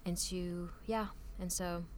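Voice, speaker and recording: A woman's voice: on the low side, fairly even intonation, slow pace, slight background noise. A young woman from France, a podcast guest, telling stories in casual conversation with many filler words. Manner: chatty, lots of "like" fillers.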